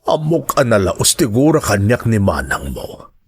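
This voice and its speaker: A man playing a grieving, gentle middle-aged father in a radio drama, with a halting, earnest way of speaking. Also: on the low side, big pitch swings, slightly rough, full character voice. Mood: troubled, concerned.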